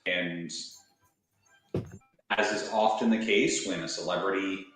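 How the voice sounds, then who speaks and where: Deep halting man in a very echoey room. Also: steady tone, a bit bright. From Canada. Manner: halting, repeats words. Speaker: video essayist. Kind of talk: talking to camera in his own video. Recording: very echoey room with music under the voice.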